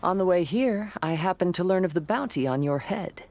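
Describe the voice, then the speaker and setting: An actress playing a calm young adventurer in a video game, with a composed delivery. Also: female voice, fairly low pitch, fairly quick, noisy background.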